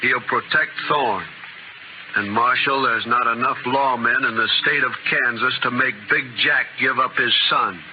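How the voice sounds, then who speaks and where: A man's voice: medium pitch, steady tone, unhurried, natural voice. An actor playing a cautious, sensible ranch foreman in a radio western, plainspoken, folksy and hesitant. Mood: somber. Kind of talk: 1950s radio western acting. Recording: noisy, muffled old radio broadcast recording.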